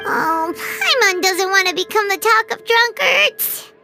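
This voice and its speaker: A tiny, earnest fairy companion from a video game, speaks in an extremely high, childlike voice. The intonation bounces around in a chirpy way, with music clearly playing behind it. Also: female voice, expressive, measured pace, full character voice. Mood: playful, modest.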